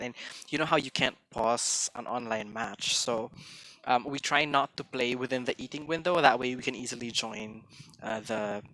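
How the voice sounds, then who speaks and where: A young man chatting casually on a game stream, quick-talking and full of restarts and little fillers. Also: medium pitch, sharp tone, low-quality recording. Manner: chatty, hesitant restarts, mixes in Tagalog phrases; tends to talk fast. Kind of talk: casual live-stream chat.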